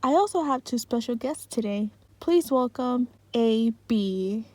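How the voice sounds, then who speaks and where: A woman's voice: fairly high pitch, lively intonation, a little slow, darkish tone. A woman hosting a casual podcast, upbeat and asking her guests questions. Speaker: podcast host.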